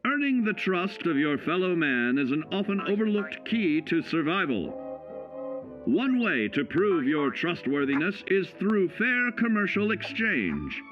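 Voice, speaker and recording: An actor playing a cheerful, folksy narrator of 1950s-style government survival films, selling each point with measured, upbeat emphasis. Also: male voice, sits high, very animated, dull audio. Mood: upbeat, instructive. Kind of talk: 1950s instructional film narration. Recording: vintage band-limited film sound, music behind. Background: music.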